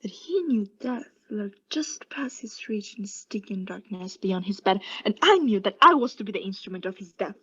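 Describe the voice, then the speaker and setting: A woman playing an obsessed mad murderer in a theatrical radio play, confessing intensely in hushed whispers and frantic outbursts. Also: mid-range voice, expressive, normal speed, few pauses, husky, muffled recording. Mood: haunted, intense.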